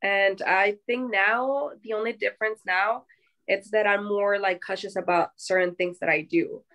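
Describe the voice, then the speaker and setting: A woman in her thirties chatting as a guest in a thoughtful, measured way. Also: mid-range voice, steady tone, a bit bright. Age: 30s. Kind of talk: casual group chat.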